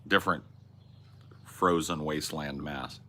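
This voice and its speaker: Streamer host, low and punchy, profane rambling chat. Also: male voice, a little slow, sharp tone, slight background noise. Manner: rambling, profane, restarts and self-corrections. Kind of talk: casual livestream chat reading viewer messages.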